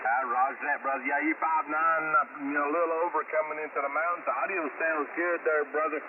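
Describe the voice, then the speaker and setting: A higher-voiced man acts as a ham radio net controller, running check-ins in a folksy way. His voice comes through a thin, band-limited radio signal with plenty of static. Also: fairly even intonation, fast, far from the mic. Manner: folksy, calls others brother. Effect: heard over amateur radio. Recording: narrow, noisy ham radio transmission. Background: radio static and noise.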